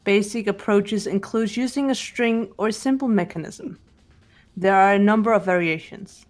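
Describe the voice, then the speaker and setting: A woman voicing a composed, analytical teenage girl detective in a fan-voiced mystery game, speaking in a deliberate, precise way. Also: mid-range voice, soft background music, lightly acted. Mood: calm, analytical. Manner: deliberate, precise, lecturing delivery. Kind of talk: fan voice-over of a mystery visual novel.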